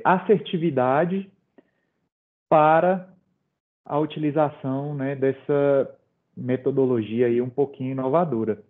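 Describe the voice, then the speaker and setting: A middle-aged man runs an online quiz from a home office, chatting loosely and teasing the audience. His voice sits a little high and comes through a narrow, phone-like video call line. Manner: informal, hesitant fillers, jokes with audience. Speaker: presenter running a quiz. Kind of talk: online webinar talk.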